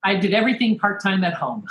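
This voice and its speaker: A woman in her fifties, a guest author and attorney, talking in a brisk, confident, matter-of-fact way. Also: mid-range voice, expressive, roomy sound, poor audio. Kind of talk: casual interview chat.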